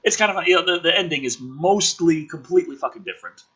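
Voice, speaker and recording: A male host with a bright, forceful voice that swings widely in pitch. He has a rough, husky edge and delivers sarcastic, profane commentary, shifting between off-the-cuff ranting and theatrical script reading. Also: animated, fast, highs cut off. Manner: sweary, sarcastic, informal.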